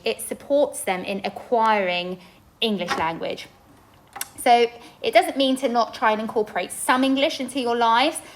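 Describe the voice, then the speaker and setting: A woman's voice: mid-range voice, slight background noise, low-quality recording. A young adult woman from Poland, a native Polish speaker and an inclusion teacher, earnestly explaining language learning to parents. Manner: earnest, with occasional restarts. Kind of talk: podcast-style school presentation for parents.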